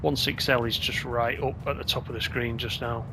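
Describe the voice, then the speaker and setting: A man provides casual commentary for a gaming video, chatting and thinking aloud as he plays. His pitch is middling for a man. Clear game music runs behind his voice. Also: flat delivery, normal speed.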